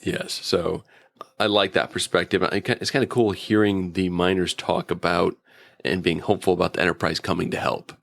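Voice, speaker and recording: A man's voice: low-pitched, steady tone, normal speed, vocal fry, a bit bright. A man chatting casually as a guest reviewer, with an informal, enthusiastic fan's way of talking. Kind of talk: casual episode review chat.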